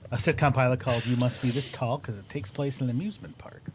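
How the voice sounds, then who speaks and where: A man's voice: mid-range voice, steady tone, fast, background noise. A middle-aged man chatting casually as a guest writer, with a dry, self-deprecating manner. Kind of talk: casual chat.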